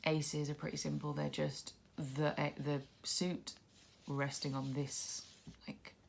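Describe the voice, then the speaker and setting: Low gravelly woman with a cold. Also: slow pace, slight background noise. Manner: relaxed, musing, thinking aloud. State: congested with a cold, sniffly, coughing. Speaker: tarot deck reviewer. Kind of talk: casual walkthrough commentary.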